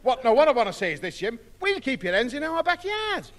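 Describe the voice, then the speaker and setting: Squeaky-high daft Liverpudlian neighbour. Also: male voice, big pitch swings, fairly quick, a bit bright, heavily acted. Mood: self-important. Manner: matey, malapropism-prone. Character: daft, chummy male neighbour mangling long words. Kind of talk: 1960s radio comedy acting.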